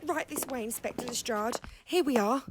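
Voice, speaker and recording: A woman playing a nosy, excitable Victorian housekeeper in an audio play, chatty and inquisitive. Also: a little high, normal speed, background noise, lightly acted. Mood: polite. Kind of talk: scripted audio play acting.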